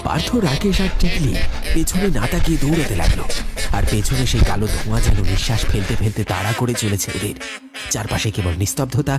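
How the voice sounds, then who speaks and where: A man's voice: medium pitch, animated, quick pace. A man narrating a horror story in a dramatic, scripted storytelling style. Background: loud music.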